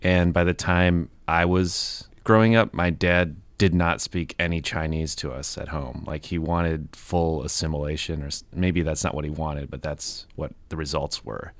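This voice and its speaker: A man hosting a music podcast, reading a scripted intro and then asking measured questions. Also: extremely low pitch, steady tone, no room sound. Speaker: podcast host. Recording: music bed under intro.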